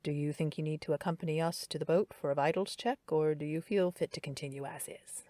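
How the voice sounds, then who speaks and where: A woman voicing a calm older male doctor who speaks low and soothingly to keep a tense man at ease. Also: brisk, vocal fry, dry recording.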